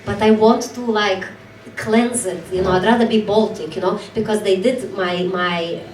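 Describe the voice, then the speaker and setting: A woman's voice: mid-range voice, steady tone, a little mellow. A woman from Belarus with a Belarusian accent, a podcast host in casual conversation, candid and self-mocking. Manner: candid, self-mocking, talks in quick bursts. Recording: roomy, distant mic, faint background noise.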